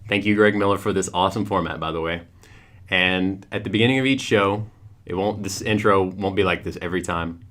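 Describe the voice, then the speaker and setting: A man's voice: low-pitched, a little fast, fairly bright tone. A young man with a Western Kentucky accent, co-hosting a casual podcast with friends, upbeat and enthusiastic. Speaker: podcast co-host.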